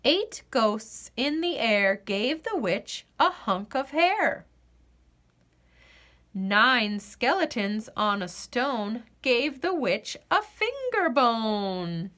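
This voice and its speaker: Very slow, lively woman storyteller. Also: fairly high pitch. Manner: deliberate, expressive sing-song rhyming delivery. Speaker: storyteller reading a picture book aloud. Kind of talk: children's story read-aloud.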